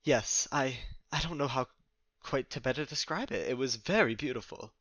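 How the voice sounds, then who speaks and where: A man playing a flustered, confused, nature-loving man who speaks haltingly in formal words in an audio drama. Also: medium pitch, fairly bright tone, no room sound, natural voice. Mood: bewildered, halting. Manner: halting, stammering, formal wording.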